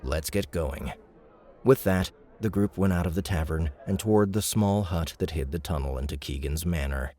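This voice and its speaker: A man narrating an audiobook in a steady, measured reading. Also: sits low. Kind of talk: scripted narration.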